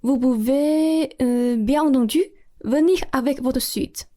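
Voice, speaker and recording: A woman's voice: high voice, slow, warm tone. A woman playing a rich, effusive aristocratic lady in a student radio play, speaking grandly with theatrical sighs. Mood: welcoming.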